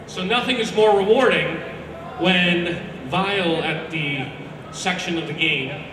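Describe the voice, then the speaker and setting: A loud young man giving a rambling, animated, informal talk about video games to a live audience, acting out characters as he goes. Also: sits high, unhurried, background noise. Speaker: speaker presenting a ranked list. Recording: very echoey hall, distant mic, loud music behind.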